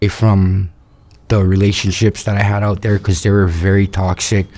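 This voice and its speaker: A man of thirty from Hawaii, calmly telling his life story in an interview. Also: low-pitched, moderate pace. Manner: steady, matter-of-fact storytelling. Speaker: interview subject.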